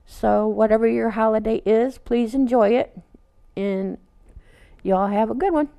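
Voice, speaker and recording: A woman reading a children's picture book aloud, adding casual asides in a gentle, unhurried read-aloud manner. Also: a little high, fairly even intonation, creaky, smooth. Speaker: storytime reader.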